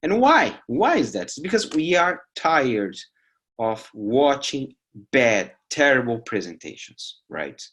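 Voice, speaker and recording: A man in his forties from Brazil, whose first language is Portuguese, teaching an online course in a lively way, often tagging lines with "right?". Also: medium pitch, slow. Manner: lively, with emphatic rising asides and "right?" tags. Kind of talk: recorded lecture over slides. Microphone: webcam video call framing.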